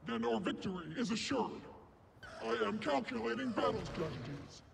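A man voicing an arrogant, ruthless combat droid in a video game, clipped and deadpan through robotic processing. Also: sits high, normal speed, noisy background, heavily acted. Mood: cold, superior. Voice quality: gravelly, mechanical.

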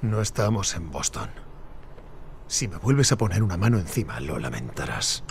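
Casting: a bitter, firm younger brother in a game, lively pitch, faint hiss. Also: male voice, normal speed, gravelly, full character voice. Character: resentful younger brother, settlement leader.

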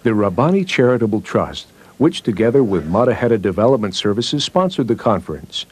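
A man's voice: fairly low pitch, big pitch swings, fairly quick, husky. A man reading scripted newsreel narration.

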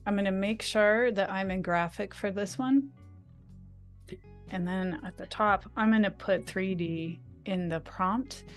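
A woman on a video call leads a live software walkthrough, chatty and eager. Faint music plays underneath. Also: mid-range voice, unhurried. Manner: chatty, enthusiastic. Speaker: guest designer demonstrating software. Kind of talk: live screen-share tutorial.

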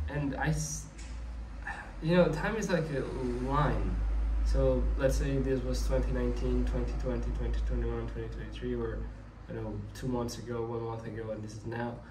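A man's voice: mid-range voice, a little mellow, distant mic. Rambling young man musing in an echoey room. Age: young adult. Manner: rambling, restarts often, wistful. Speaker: vlogger. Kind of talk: casual musing monologue. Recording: echoey room, mic set back, faint ambient noise.

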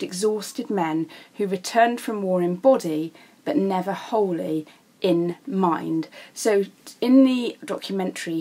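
A woman's voice: lowish voice, darkish tone, husky. A woman with a British accent, an English teacher explaining step by step in a narrated video lesson. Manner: explanatory, signposting each step.

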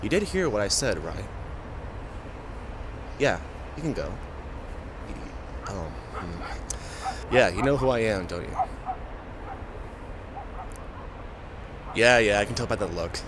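A man playing an injured, famous musician who is guarded, halting and sarcastic, in an audio roleplay spoken to the listener. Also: on the low side, lively intonation, background noise. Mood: defensive, flustered.

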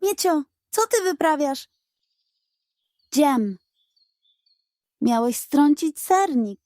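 A woman's voice: sits high, lively intonation, drawn-out words, a little mellow, no room sound, clean studio sound, voice pushed up, full character voice. A woman voicing a scheming, hot-tempered, greedy male pug in a children's story, dramatic and indignant. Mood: indignant outrage.

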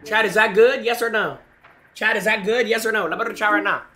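A young man streaming a game on a headset, talking excitedly to his chat and yelling at big moments. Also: high voice, fast, a little hiss or hum. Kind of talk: live game stream commentary. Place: small room indoors. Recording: game gunfire in background.

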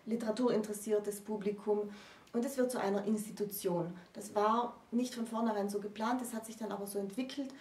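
A woman in her thirties explaining literary history in a conversational, deliberate lecture style as a co-presenter. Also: mid-range voice, steady tone, a little mellow. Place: small indoor room with bookshelves. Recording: roomy echo, distant microphone.

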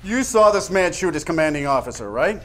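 An American man playing a sharp, sardonic investigator in a game cutscene, needling and accusatory. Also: on the high side, very animated, a little fast. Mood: sceptical, pressing. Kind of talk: dramatic game cutscene acting.

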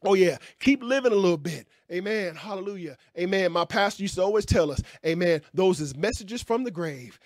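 A man in his fifties leads a Bible class in a fervent, folksy preaching cadence, punctuating nearly every phrase with an amen or hallelujah. His voice sits fairly high for a man. It is recorded dry and close on a stand mic in a small room. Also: expressive, brisk, hardly any pauses, no room sound. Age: 50s. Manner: emphatic call-and-response preaching with frequent amens; folksy, conversational, gestures with hands. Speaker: pastor. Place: small indoor room with curtain backdrop. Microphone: stand mic in front.

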